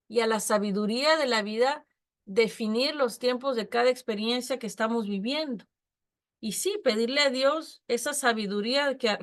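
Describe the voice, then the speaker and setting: A woman speaking Spanish as a second language gives a reflective spiritual talk, slightly high-pitched, stopping to restart her phrases and checking in with her listeners. Her delivery is calm and searching. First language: not Spanish. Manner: thoughtful, many pauses and restarts, frequent tag questions. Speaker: study group speaker.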